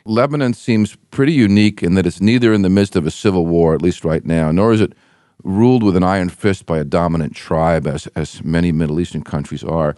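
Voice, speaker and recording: Male radio host, measured prepared delivery, dry close studio mic. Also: sits low, dark tone, no echo. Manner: measured, reads prepared questions. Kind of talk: scripted introduction and interview questions.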